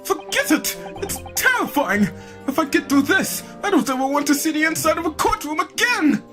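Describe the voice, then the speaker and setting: A woman reading aloud as a nervous young male defense lawyer, excitable and flustered, over game music. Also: sits high, moderate pace, pitched above the performer's own voice. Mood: excited protest.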